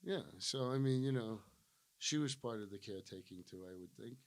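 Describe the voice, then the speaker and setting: A man's voice: medium pitch, animated, a little fast. A male stand-up comedian from Rhode Island, a guest on a podcast, teasing his host and correcting his words. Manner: pedantic, teasing. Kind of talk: casual podcast banter. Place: studio.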